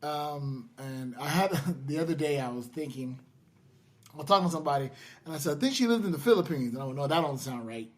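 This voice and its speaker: A man hosting a podcast, telling stories casually in a halting, self-deprecating way. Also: a little high, expressive. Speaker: podcast host. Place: in-person podcast recording in Las Vegas.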